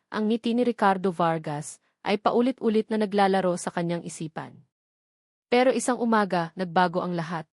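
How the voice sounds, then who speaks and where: A woman with a Filipino accent narrates a scripted romantic drama. Her voice sits at a medium pitch for a woman, and the sound is dry, with hardly any room in it. She delivers it as storytelling, in full written sentences. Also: a little mellow, dry recording.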